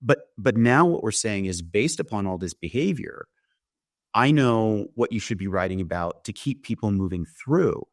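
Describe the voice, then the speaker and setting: A man speaking as a company founder and guest, explaining his product in a conversational sales-pitch style and often checking in with "right?". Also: animated, moderate pace, no echo, clean studio sound. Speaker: company founder demonstrating software, interview guest.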